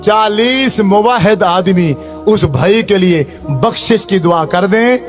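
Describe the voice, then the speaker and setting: High-pitched middle-aged man preaching. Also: slow, faint music behind. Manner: exhorting, repetitive, rhetorical. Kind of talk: impassioned sermon with recited prayers.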